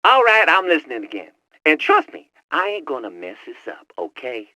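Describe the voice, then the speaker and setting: A man's voice: on the high side, wildly swooping pitch, moderate pace, bright, forceful tone, no room sound, heavily acted. A man playing a cocky, wisecracking young male hacker in a video game, with a sassy, slangy, teasing way of talking. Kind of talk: video game cut-scene acting.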